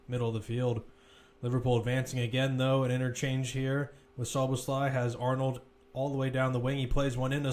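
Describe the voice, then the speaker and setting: A man giving live play-by-play football commentary as a commentator, measured but lifting when attacks build. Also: medium pitch, slight background noise.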